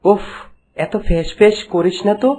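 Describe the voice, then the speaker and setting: A woman's voice: mid-range voice, fairly even intonation, moderate pace, husky, close to an everyday voice. A woman playing a sinister false prince, curt and contemptuous, in a fairy-tale cartoon. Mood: scornful, impatient. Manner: curt, scornful. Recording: voice under very loud music.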